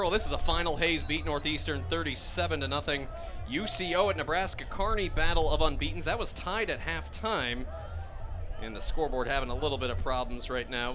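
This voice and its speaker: An American man doing live play-by-play commentary of a football game as a radio announcer. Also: a little high. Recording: loud outdoor stadium noise behind voice.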